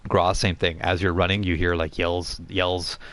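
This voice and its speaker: A man working as game master, improvising descriptive narration for a tabletop role-playing game in a halting way. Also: low voice, little pitch movement, fairly bright tone.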